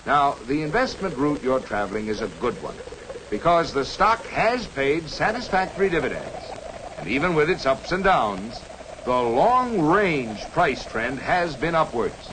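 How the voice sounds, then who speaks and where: An actor playing an earnest, reassuring American stockbroker who explains things in an instructive, salesmanlike way in a 1950s educational cartoon. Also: male voice, fairly high pitch, big pitch swings, a little slow, fairly bright tone, a little hiss or hum, poor audio. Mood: reassuring, explaining. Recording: 1950s film soundtrack with music. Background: music.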